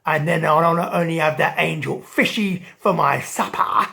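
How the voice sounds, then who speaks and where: A woman voicing a rude, bullying male shark in a children's story, taunting and loud. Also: a little high, huge pitch swings, measured pace, pitched above the performer's own voice. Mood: threatening. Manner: taunting, mocking.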